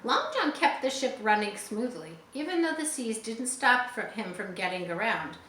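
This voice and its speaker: A woman reading a children's story aloud expressively, pausing to explain words for young listeners. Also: a little high, sing-song, sharp tone. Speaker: audiobook reader. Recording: roomy echo, faint background noise.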